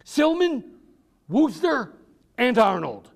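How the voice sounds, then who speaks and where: A man's voice: very high voice, sing-song, takes it slowly, gravelly, pitched above the performer's own voice, exaggerated voice. A man voicing an urgent male wartime messenger, announcing news formally in a storybook reading.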